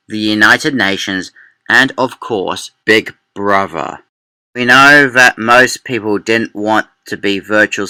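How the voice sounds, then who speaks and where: A man's voice: on the low side, sharp tone, smooth, no room sound, low-quality recording. A man presenting scripted narration with political commentary.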